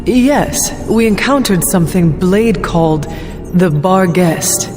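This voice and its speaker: A woman's voice: fairly low pitch, animated, slow pace. A woman playing a resolute warrior heroine in a video game, speaking in terse, formal, earnest phrases. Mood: firm. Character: resolute young female monster hunter, defiant warrior. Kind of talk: dramatic video game cutscene acting. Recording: music underneath.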